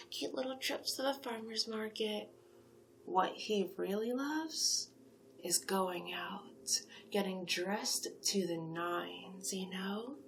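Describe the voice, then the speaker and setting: A woman playing a flattering, gossipy sphinx matchmaker in a soft-spoken, whispery ASMR roleplay. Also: medium pitch, vocal fry, a little hiss or hum. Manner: coaxing, flattering, chatty, whispery in places.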